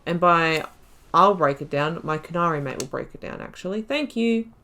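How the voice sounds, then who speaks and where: Female let's play host, pitch a bit low, informal running commentary mixed with read-aloud dialogue options. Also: lively intonation, normal speed, sharp tone. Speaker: gaming video host.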